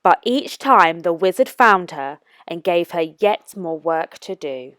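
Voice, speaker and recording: A woman reading aloud as a children's storytime narrator, with expressive, careful and deliberate phrasing. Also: on the low side, clear, resonant tone, dry recording. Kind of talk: scripted storybook read-aloud for young children.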